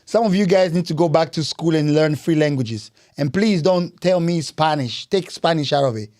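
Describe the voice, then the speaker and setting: A man's voice: fairly high pitch, normal speed, darkish tone, no echo, studio quality. A man hosting a podcast interview in casual chat, recapping his guest's story and cheering her on with "nice, nice". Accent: Scottish. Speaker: podcast host.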